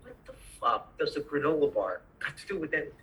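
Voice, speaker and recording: A woman's voice: low voice, big pitch swings, fast, fairly bright tone, exaggerated voice, low-quality recording. A woman playing a bitter, foul-mouthed male tax auditor in a screenplay table read, irritable and sarcastic. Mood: sarcastic contempt.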